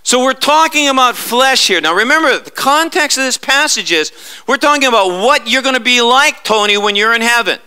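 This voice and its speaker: Bright, high-voiced middle-aged man preaching. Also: fairly quick, clean tone. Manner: animated, gesturing, many restarts and filler stammers. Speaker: pastor. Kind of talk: sermon. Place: church stage, indoors.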